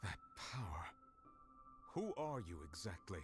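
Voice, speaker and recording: An actor playing a composed, formal man of authority in a video game, measured and dignified. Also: fairly low pitch, sing-song, faint music behind. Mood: guarded, curious. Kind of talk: video game character acting.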